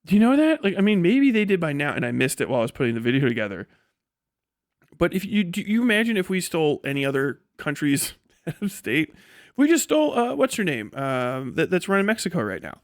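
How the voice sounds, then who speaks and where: A man giving casual, rambling live commentary as a stream host, slangy and animated, acting out imagined exchanges. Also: fairly high pitch, a little fast, dry recording, studio quality. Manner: often chuckles mid-sentence. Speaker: livestream host and political commentator.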